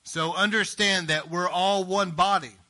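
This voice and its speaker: A pastor preaching an earnest, emphatic sermon, often checking in with the congregation. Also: male voice, high-pitched, fairly even intonation, measured pace, bright, forceful tone. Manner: earnest, emphatic preaching with frequent "Amen?" asides.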